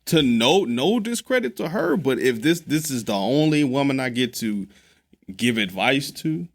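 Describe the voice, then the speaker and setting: A laid-back man in his thirties chats easily on a podcast, his voice gravelly with fry. He talks in loose slang, laughs a lot and circles back to his point. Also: mid-range voice, big pitch swings, normal speed. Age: 30s. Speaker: podcast co-host. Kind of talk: casual chat.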